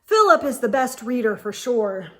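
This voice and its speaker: A woman's voice: on the high side, big pitch swings, normal speed, pushed and bright. A woman voicing an eager, exclaiming young schoolboy in a children's storybook. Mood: encouraging. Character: excitable young schoolboy eager for summer vacation.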